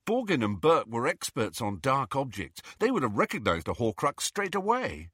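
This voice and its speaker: A man's voice: medium pitch, sing-song, moderate pace, fairly bright tone, slightly rough, dry recording, clean studio sound, voice pushed up. A man voicing an earnest, stubborn, argumentative teenage boy wizard in an audiobook. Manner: insistent, argumentative. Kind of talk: audiobook character dialogue.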